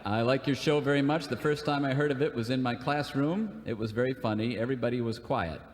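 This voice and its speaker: A man's voice: medium pitch, brisk, in a large hall. A man reading letters aloud and bantering in a folksy, joking way on a live comedy radio show. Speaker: radio show performer and singer.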